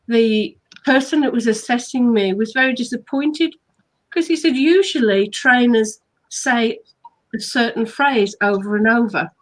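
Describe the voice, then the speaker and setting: A woman's voice: fairly high pitch, rough recording. An English woman co-hosting a casual online chat, playful and fond of telling stories. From England. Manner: chatty, playful, storytelling. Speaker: author and hypnotherapist co-host.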